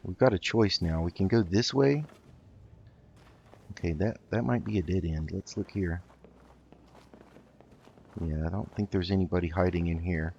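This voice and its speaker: A man's voice: sits low, moderate pace, vocal fry, pauses often, darkish tone, husky, lo-fi sound. A man hosting a casual let's play, thinking aloud hesitantly as he goes. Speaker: gaming video host.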